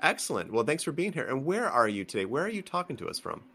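A man's voice: fairly high pitch, big pitch swings, quick pace, sharp tone, husky. A man hosting a podcast, introducing his guest and asking friendly, upbeat questions. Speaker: podcast host. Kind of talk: podcast interview.

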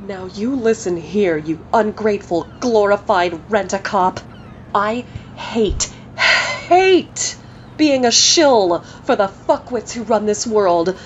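A woman's voice: medium pitch, lively intonation, takes it slowly. A woman playing a cynical, profane journalist who rages at the people running her world. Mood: furious. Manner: blunt, profane, scornful. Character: cynical fiery woman journalist, furious at corporate rulers. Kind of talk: audio drama acting. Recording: slightly dull audio with faint noise.

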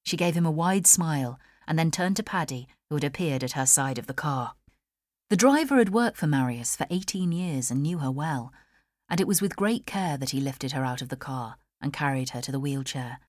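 A woman's voice: low voice, expressive, moderate pace, dry recording, studio quality. A woman with a British accent reading a novel as a scripted audiobook narration. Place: studio.

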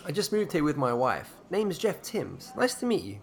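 A man's voice: a little high, wildly swooping pitch, moderate pace, vocal fry, exaggerated voice. An actor playing a polite, curious semi-retired man new to a village, hesitant and inquisitive, in audio drama dialogue.

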